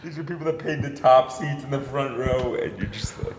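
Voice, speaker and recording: A man guesting on a comedy podcast, with a deep voice. He mumbles and rushes his words while joking around. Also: slightly rough. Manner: talks fast in bursts, joking. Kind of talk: casual chat. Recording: reverberant room.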